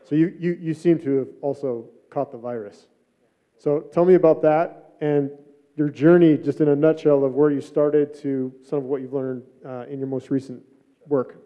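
A man's voice: on the high side, steady tone, moderate pace, dull audio. A middle-aged man hosting a live panel, introducing guests and putting questions to them. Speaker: panel host, startup mentor. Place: stage of an auditorium, indoors. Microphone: handheld microphone.

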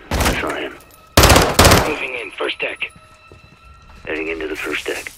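An actor playing a commanding soldier on a night raid in an action game, giving clipped callouts. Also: male voice, extremely low pitch, very animated, measured pace, gravelly, very noisy, full character voice. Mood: focused. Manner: clipped radio-style callouts. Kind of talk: military action game acting. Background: loud game music and gunfire.

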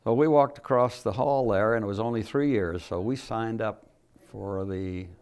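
A man's voice: on the low side, moderate pace, a little mellow, smooth. An elderly American man, a veteran, telling a story from memory in a studio interview. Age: 70s or older.

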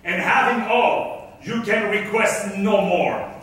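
A man acting a high, ringing, bitterly wronged merchant. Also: fairly even intonation, slow pace, fairly bright tone. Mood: bitter pleading. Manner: declamatory, impassioned. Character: wronged, bitter, defiant wealthy merchant of Malta. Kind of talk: theatrical staged reading of Elizabethan verse. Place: theatre stage in a hall. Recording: echoey stage, distant microphone.

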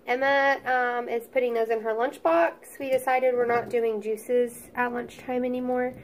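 A woman in her thirties with an Oklahoma Southern accent, narrating a casual grocery haul in a chatty, homey way, her voice congested and a little crackly from a cold. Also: fairly high pitch. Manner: chatty, homey, listing items. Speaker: YouTube vlogger, mom.

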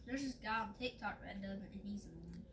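A woman's voice: medium pitch, brisk, breathy edge. A woman chatting casually from off camera, chiming in with short remarks, her voice distant from the mic. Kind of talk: casual chat.

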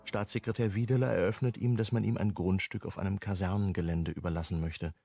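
A man's voice: low-pitched, steady tone, fast talker, gravelly, warm tone, no room sound, studio quality, soft background music. A man narrating a scripted radio crime drama.

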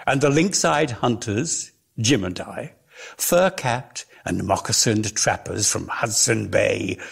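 Husky male dramatic reader, very animated pitch. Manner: measured, theatrical, lingering on words. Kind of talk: dramatic reading of a literary story.

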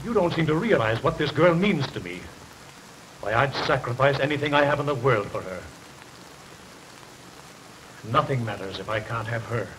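A man plays a wealthy, scheming gentleman in love, in early talkie style. His speech is formal, commanding and intense, at a middling pitch. A storm and light hiss sit behind him. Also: expressive, brisk, natural voice, poor audio. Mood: desperate resolve. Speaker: film actor. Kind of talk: early 1930s film acting. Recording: hissy 1932 film soundtrack, stormy rain behind.

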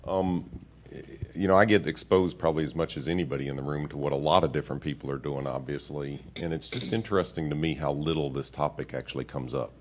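A man speaking as a consultant on a conference panel, candid and quick, with chuckling asides. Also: extremely low pitch. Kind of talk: panel discussion remarks.

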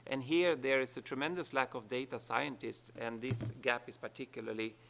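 A man's voice: medium pitch, fairly even intonation, gravelly, fairly bright tone. A man speaking on a conference panel for an international organization, hesitating often between phrases. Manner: halting, with many fillers. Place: large conference room.

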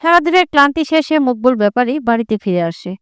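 Woman, cartoon storyteller, scripted narration, crisp tone, dry sound. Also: sits high, animated, quick pace, fairly bright tone, dry recording.

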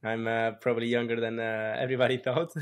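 A man's voice: medium pitch, level tone, fast talker. A man in his twenties from Italy with an Italian accent, co-hosting a podcast over a video call and talking in a friendly way with many fillers, from a small room at home. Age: 20s. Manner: friendly, lots of filler words and light laughs. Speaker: podcast co-host. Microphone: video call with earbuds.

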